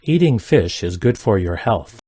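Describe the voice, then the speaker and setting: A man reading simple English practice sentences aloud, each one twice, in a careful, even delivery. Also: fairly low pitch, measured pace, slightly rough, no echo, studio quality. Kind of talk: slow repeated sentence reading for learners.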